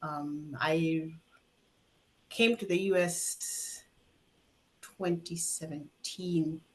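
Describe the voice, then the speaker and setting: A woman with a Kenyan accent and a fairly low pitch talks as an interview guest. The style is informal, spoken self-introduction. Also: steady tone, extremely slow, darkish tone, poor audio.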